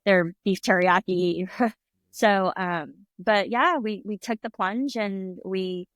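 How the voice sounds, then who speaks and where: A woman's voice: medium pitch, slow pace, fairly bright tone, no echo. Middle-aged woman, chatty guest who laughs and mimics others, close and dry. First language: English. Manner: chatty, laughs often, acts out quoted voices. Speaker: restaurant owner, guest. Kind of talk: casual interview conversation.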